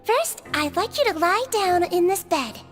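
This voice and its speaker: A woman voicing a small, kind, unfazed nonhuman head nurse in a video game, gentle, patient and playfully matter-of-fact. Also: very high-pitched, expressive, measured pace, soft background music. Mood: playful, thoughtful. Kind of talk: English video game voice acting.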